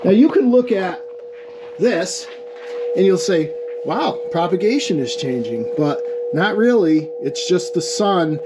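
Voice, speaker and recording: Higher-pitched man demonstrating gear, noisy recording. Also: unhurried. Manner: explanatory, checks in with "okay" and "right". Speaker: amateur radio experimenter demonstrating equipment. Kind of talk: informal hands-on technical demonstration. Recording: clear steady background noise.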